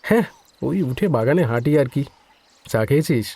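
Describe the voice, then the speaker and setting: An actor playing a casual, slightly evasive estate employee in a Bengali audio story, chatty and familiar with some hesitation. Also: male voice, mid-range voice, animated, moderate pace, gravelly, warm tone.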